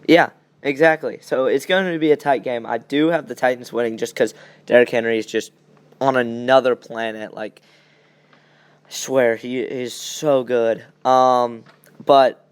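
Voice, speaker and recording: A man's voice: mid-range voice, measured pace, clear, resonant tone, no room sound. A young man hosting a podcast, chatting casually and giving blunt opinions on sports. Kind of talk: casual sports chat, game predictions.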